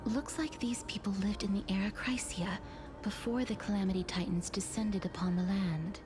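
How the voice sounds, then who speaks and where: An actress playing a calm, gentle, solemn young priestess in a fantasy game, speaking softly and politely in a measured way. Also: female voice, medium pitch, over music, close to an everyday voice. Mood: solemn, pensive. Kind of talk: video game story dialogue.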